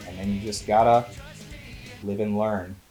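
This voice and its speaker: A man's voice: lowish voice, fairly even intonation, measured pace, warm tone, faint music behind. Wry male co-host bantering about movies. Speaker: movie review show co-host. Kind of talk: casual banter and film review.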